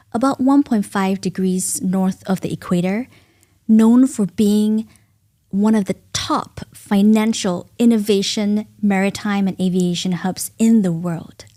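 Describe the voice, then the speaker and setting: A woman with a Singaporean accent hosts a solo podcast in a studio, speaking earnestly and reflectively in deliberate phrases with pauses. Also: medium pitch, steady tone, warm tone. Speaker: podcast host.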